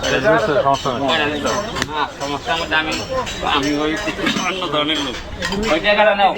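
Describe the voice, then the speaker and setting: A man, a market seller chatting casually in brisk, clipped phrases while serving customers. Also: fairly high pitch. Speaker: market bread and sweets seller. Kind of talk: casual market haggling and chat. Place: outdoor rural market stall. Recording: loud crowd and outdoor birds. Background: loud crowd, birds.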